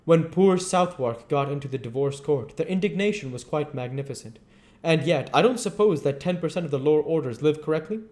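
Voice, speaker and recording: A man voicing a witty, vain English gentleman from a novel, with an exclamatory, teasing and pompous manner. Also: a little high, animated, fairly quick, close to an everyday voice. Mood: breezy, witty.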